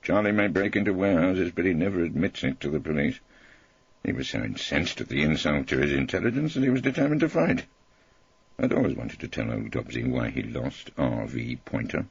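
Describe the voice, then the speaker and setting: A man's voice: extremely low pitch, big pitch swings, brisk, vocal fry, husky, lo-fi sound, exaggerated voice. A man playing an irreverent, witty old male barrister with a wry, florid, mock-courteous manner. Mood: teasing.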